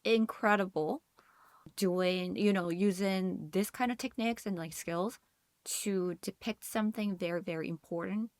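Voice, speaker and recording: Casting: female podcast co-host, mid-pitched voice, expressive casual talker, close and dry sound. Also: normal speed, no room sound.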